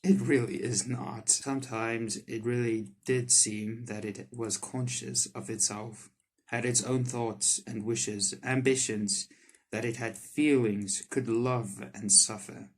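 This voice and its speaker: A man doing let's play commentary on a visual novel, reading the text aloud and reacting to it excitably. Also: medium pitch, slow. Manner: excitable, reacts in sudden loud outbursts. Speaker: streamer playing a visual novel. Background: soft game music.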